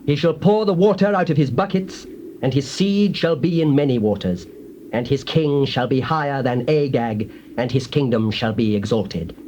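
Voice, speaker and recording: An actor playing a solemn, defiant prophet in a dramatized audio Bible, declaiming grand oracles like incantations. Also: male voice, medium pitch, moderate pace, a little mellow, lo-fi sound, natural voice. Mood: solemn, prophetic. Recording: hissy old cassette tape.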